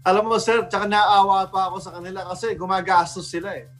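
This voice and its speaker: Filipino guy radio host, slightly high voice, chatty but halting, mixes tagalog and english, soft music behind. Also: steady tone. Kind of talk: casual radio discussion.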